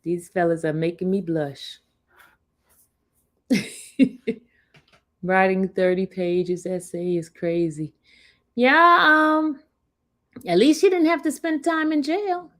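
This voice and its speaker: A woman's voice: mid-range voice, slow, pauses often, a bit bright. A woman, chatting on her livestream and laughing with her viewers. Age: 50s. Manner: chatty, laughs often, talks to her live chat. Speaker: livestream host. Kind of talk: casual livestream chat.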